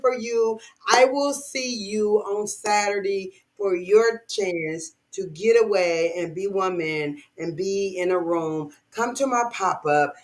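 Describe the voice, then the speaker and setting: A woman's voice: medium pitch, takes it slowly, few pauses, poor audio. A woman hosting a podcast in casual chat, hyping up her guest with energy. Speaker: podcast host. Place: podcast studio.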